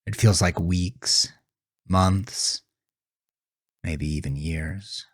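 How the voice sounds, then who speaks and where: A man narrating a horror story with a grave, deliberate, suspenseful delivery. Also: sits low, husky, no room sound, clean studio sound. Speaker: author and narrator of horror podcast. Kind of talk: dramatic second-person horror story narration.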